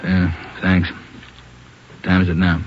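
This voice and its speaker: A man acting a gruff, questioning police chief in a 1940s radio drama, terse with some hesitation. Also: low voice, monotone, slow. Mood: subdued, waiting. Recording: muffled, noisy 1940s radio recording.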